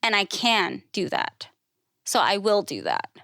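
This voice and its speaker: A woman's voice: medium pitch, sing-song, measured pace, bright, forceful tone, dry recording. Candid woman, dry close recording. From Thailand. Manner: informal and outspoken, with frequent "like" and acted-out quotes. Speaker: podcast guest, classical musician and educator. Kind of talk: candid conversational storytelling.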